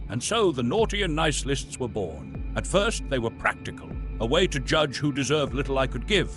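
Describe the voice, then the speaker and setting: A man playing a weary, tormented, sinister old Santa, narrating a horror confession in a brooding, deliberate, theatrical way. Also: mid-range voice, natural voice. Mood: brooding regret. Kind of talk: dramatic horror monologue narration. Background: music.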